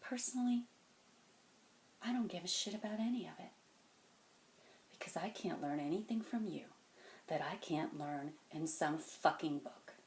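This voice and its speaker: A woman performing a dramatic monologue as a weary, wounded, stern mentor, speaking deliberately and intensely, moving between hushed and forceful. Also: lowish voice, close to an everyday voice. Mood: quiet, firm. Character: weary, wounded, stern mentor confronting a cocky young genius. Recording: echoey room.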